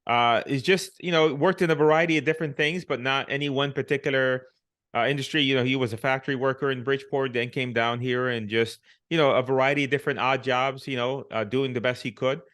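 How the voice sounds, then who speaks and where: A man's voice: mid-range voice, fairly even intonation, fast, fairly bright tone. Reflective middle-aged man from Puerto Rico. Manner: reflective, many restarts and "you know" fillers. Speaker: podcast guest. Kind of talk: casual conversational storytelling.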